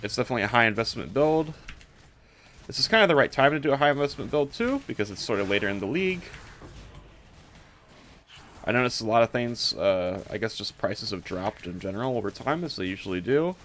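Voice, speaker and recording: A man's voice: medium pitch, animated, a little fast, a bit bright, a little hiss or hum. A man giving casual gameplay commentary as a streamer, offhand and a little hesitant, thinking aloud as he goes. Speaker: streamer showing a game character build.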